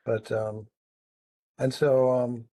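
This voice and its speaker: A man hosts a relaxed interview, talking in long, rambling runs. His voice sits at a middle pitch, and he fills his pauses with "um" as he works toward his questions. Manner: chatty, rambling, many ums. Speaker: podcast host. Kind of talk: casual interview conversation.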